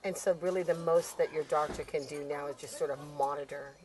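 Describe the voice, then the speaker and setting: A woman's voice: deep voice, fairly even intonation, a little fast, a little mellow. A woman hosting a talk show, asking probing questions and finishing her guest's sentences. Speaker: host.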